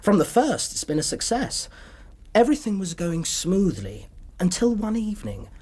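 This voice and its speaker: A young English doctor in period radio drama, modest and courteous. The voice sits a little high and is slightly husky. He speaks precisely but hesitantly, with lively rises and falls. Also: moderate pace. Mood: measured narration. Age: young adult. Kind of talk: period radio drama acting, narrating his story.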